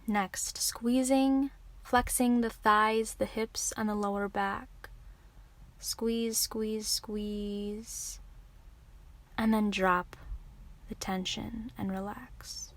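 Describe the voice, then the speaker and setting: A woman leading a guided relaxation meditation as a yoga teacher, giving soothing, gentle instructions in an unhurried way. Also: mid-range voice, flat delivery.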